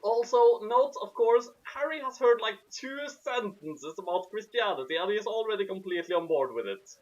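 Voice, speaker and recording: A man's voice: high voice, lively intonation, normal speed, dull audio. A man doing a mocking dramatic reading with sarcastic, casual commentary and a theatrical manner. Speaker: reader and commentator.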